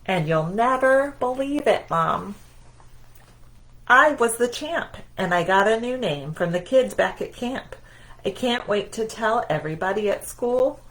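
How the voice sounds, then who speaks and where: Woman reading as an excited, proud schoolgirl inventor. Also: on the high side, measured pace, rough recording.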